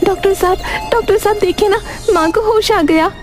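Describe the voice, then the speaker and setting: A woman's voice: very high voice, fairly quick, pitched above the performer's own voice. A woman voicing a caring, eager young village daughter in a cartoon story. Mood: anxious, caring. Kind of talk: cartoon moral story acting. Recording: music behind the voice.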